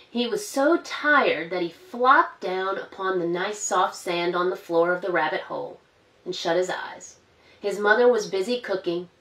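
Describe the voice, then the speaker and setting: A woman librarian reads a storybook aloud for an online story time, gentle and expressive. She stops now and then to ask the children questions. The room is roomy and echoey, and she sits a little back from the mic. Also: mid-range voice, distant mic. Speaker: school librarian. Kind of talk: reading a picture book aloud to children.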